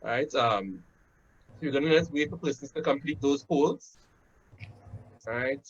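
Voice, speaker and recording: A man's voice: medium pitch, unhurried, breathy edge. A man in his thirties with a Trinidadian accent, hosting a webinar from a room at home in a casual, conversational way, often tagging his points with "right?". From Trinidad and Tobago. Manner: conversational, frequent "right?" tags and "um" fillers. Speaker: webinar host. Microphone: laptop webcam on a video call. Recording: dull lo-fi video call audio.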